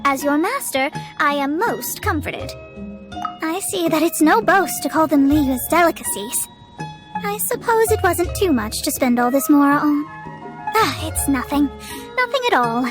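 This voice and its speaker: Pompous high-voiced young astrologist. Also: female voice, expressive, measured pace, music in the background. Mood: proud, reluctant. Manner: pompous, formal, theatrical. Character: proud young female astrologist, pompous, secretly short of money. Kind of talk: scripted video game acting.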